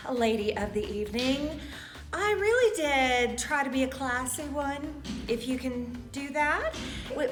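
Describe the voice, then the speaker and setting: A middle-aged woman, an actress, chatting casually and reminiscing in a theatrical, jokey manner. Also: a little high. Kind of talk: casual reminiscing about past stage roles.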